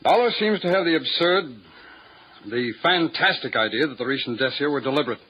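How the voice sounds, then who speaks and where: A man's voice: fairly high pitch, a little fast, slightly rough. An actor playing a defensive, flustered male rest home owner in an old radio drama, on a dull lo-fi broadcast. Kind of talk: 1950s radio drama acting.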